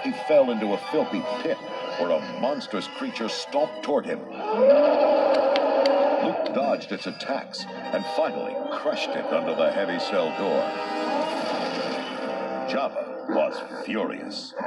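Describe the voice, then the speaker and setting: A man's voice: fairly low pitch, big pitch swings, a little slow, pauses often, poor audio. A man reading scripted narration of an adventure storybook. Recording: loud music under the voice.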